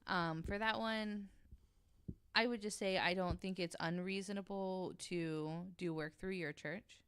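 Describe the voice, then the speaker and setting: A woman's voice: on the low side, fairly even intonation, a little slow, fairly bright tone, clean tone. A woman explaining things casually on a podcast, informal and frank in her delivery. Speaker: podcast panelist.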